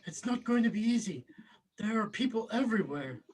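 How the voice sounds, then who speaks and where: A man's voice: high voice, animated, a little fast, darkish tone, rough recording. A man acting a browbeaten grown son, whining and hesitant, in a table read of a film script. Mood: whiny, meek. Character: whiny, browbeaten grown son, reluctant schemer.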